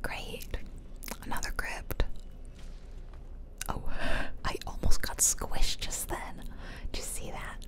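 A woman giving ASMR game commentary in a soft, chatty, self-deprecating way, close up on the mics. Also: slow pace, long pauses. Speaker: gaming video host. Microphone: close ear-to-ear stereo mics.

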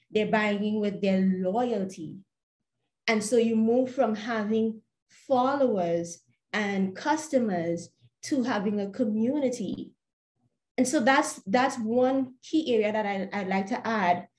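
A young woman with a Trinidadian accent, answering earnestly as a webinar panelist, measured and repeating words as she searches for them. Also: on the high side. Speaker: panelist, startup founder. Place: home room, plain wall. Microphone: laptop webcam video call. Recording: roomy echoey home room.

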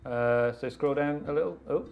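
A man's voice: on the high side, normal speed, muffled recording. A man, a regular player on a livestream, chatting casually and reading viewer messages aloud.

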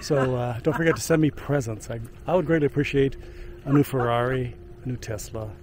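A man's voice: medium pitch, fairly quick, darkish tone. Older man, outdoor vlog host, joking and rambling, camera at arm's length, faint outdoor noise behind. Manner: jokey, rambling, with filler words. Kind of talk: casual chat to camera. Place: outdoors on a wooded lakeside trail and park. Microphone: handheld camera at arm's length.

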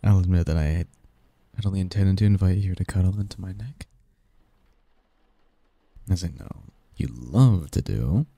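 A man playing a possessive, teasing sleep demon lover in an intimate ASMR roleplay, speaking softly in formal, flirtatious phrases. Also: deep voice, expressive, normal speed, creaky, pauses often, dry recording, exaggerated voice. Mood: affectionate. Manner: flirtatious, formal wording, soft intimate delivery, chuckling.

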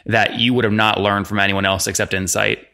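A young man hosting an interview in a brisk, direct manner, asking probing business questions into a desk microphone. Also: on the low side, level tone, creaky, bright, forceful tone. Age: young adult. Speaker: podcast host interviewer. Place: small room with bookshelves, video call. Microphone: desk microphone with headset.

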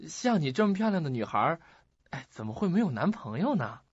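Actor as a friendly, husky male student. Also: a little high, very animated, fairly quick, no room sound. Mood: awkward, friendly. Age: young adult. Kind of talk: Chinese radio drama acting. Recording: dull lo-fi recording.

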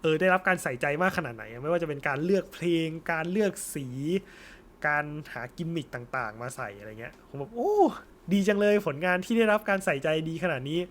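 A man's voice: fairly high pitch, lively intonation, fairly quick, a bit bright. A young man chatting casually and informally as co-host of an anime discussion. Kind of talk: casual post-film chat.

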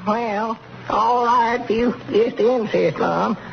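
Over a noisy, muffled 1930s radio recording, a folksy country storekeeper who shirks work. The voice is fretful, a bit creaky and rural Southern, in broad comedy style. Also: male voice, very high-pitched, takes it slowly, heavily acted. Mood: feigning illness.

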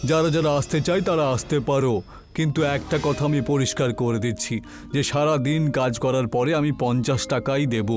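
A man's voice: mid-range voice, a little fast, close to an everyday voice. A man voicing a greedy, scheming middle-aged village landlord in a cartoon, bossy and wheedling. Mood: bossy, scornful. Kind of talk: cartoon dubbing. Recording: music behind the voice.

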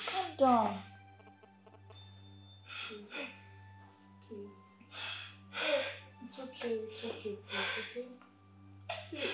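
An actress playing a pleading, fussing wife with a Nigerian accent, coaxing and entreating. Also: female voice, sits high, warm tone. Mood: pleading, consoling. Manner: coaxing, entreating, rhetorical questions. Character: pleading, fussing wife, anxiously placating her man. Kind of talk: emotional film drama acting. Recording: echoey room.

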